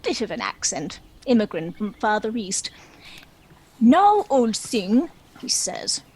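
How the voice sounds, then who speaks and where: A woman's voice: on the high side, big pitch swings, darkish tone, husky, exaggerated voice. A woman playing a chatty, self-pitying dragon who tells its own story in a wry, theatrical comic stage monologue. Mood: wistful, self-pitying. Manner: wry, theatrical, measured storytelling with arch asides.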